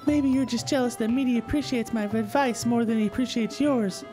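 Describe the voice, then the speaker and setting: A man's voice: very high-pitched, a little fast, darkish tone, faint music behind, voice pushed up. A man voicing a shy, refined, bookish anime schoolgirl who speaks formally and hesitantly, in a visual novel read-aloud. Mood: defensive. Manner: hesitant, stammering, formal wording.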